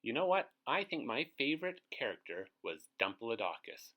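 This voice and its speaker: A man's voice: medium pitch, sing-song, normal speed, sharp tone. A man reading a children's storybook aloud as host, expressively, putting questions to his young listeners.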